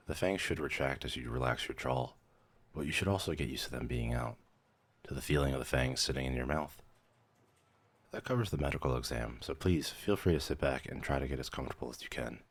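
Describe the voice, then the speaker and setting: Very deep-voiced man playing a matter-of-fact vampire mentor. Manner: measured, clinical, dryly matter-of-fact, hesitant when surprised. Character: male vampire mentor, brisk weary clerk-medic, professional turning casual. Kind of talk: scripted ASMR roleplay.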